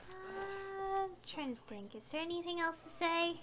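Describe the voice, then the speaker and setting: Slow, hesitant woman game streamer. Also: extremely high pitch, animated. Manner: hesitant, rambling, trailing off. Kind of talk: casual live stream commentary. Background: game music.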